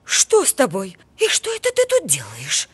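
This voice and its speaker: A woman voicing a kindly, concerned cow friend in a cartoon, gently consoling. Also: mid-range voice, huge pitch swings, moderate pace, background noise. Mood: curious, concerned. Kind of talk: animated cartoon voice acting.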